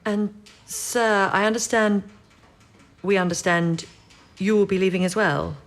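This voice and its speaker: An actress playing a steady, supportive senior wartime codebreaker, composed and matter-of-fact, in an audio drama. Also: female voice, medium pitch, a little slow, lightly acted. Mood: respectful, earnest.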